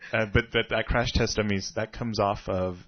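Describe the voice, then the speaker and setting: Deep-voiced man rambling on the radio. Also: fairly even intonation, moderate pace. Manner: rambling, chatty about music trivia. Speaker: radio show host. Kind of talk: casual music radio chat. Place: radio studio. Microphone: studio microphone. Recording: dull lo-fi radio recording.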